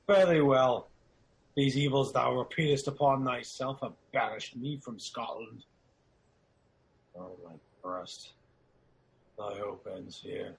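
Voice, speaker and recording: A man playing a loyal, despairing nobleman in a Shakespearean radio drama, speaking in a solemn, declamatory way with a gravelly voice. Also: mid-range voice, slow, long pauses, low-quality recording. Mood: despairing, indignant. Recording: clearly audible background noise.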